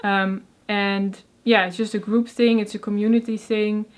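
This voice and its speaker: A woman's voice: mid-range voice, flat delivery, normal speed. A young woman from the Netherlands with a Dutch accent, who stutters on first sounds and talks with many fillers as an interview guest. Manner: soft-spoken, many fillers, frequent laughs. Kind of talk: casual interview conversation.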